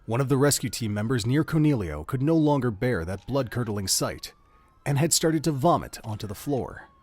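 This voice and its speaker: A man's voice: mid-range voice, animated, moderate pace, a little mellow, dry recording. A man reading dramatic scripted narration for an audio drama, in the manner of an audiobook.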